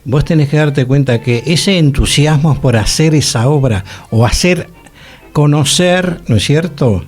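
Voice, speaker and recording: A man's voice: on the high side, unhurried, darkish tone, faint music behind. A man with a Rioplatense Argentine accent, co-hosting a casual radio conversation and emphatically repeating phrases. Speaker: radio show co-host.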